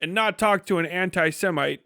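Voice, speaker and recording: Man, higher-pitched voice, dry close sound, casual streamer commentary, blunt, sardonic, profane. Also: moderate pace, sharp tone, no echo. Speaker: livestream host.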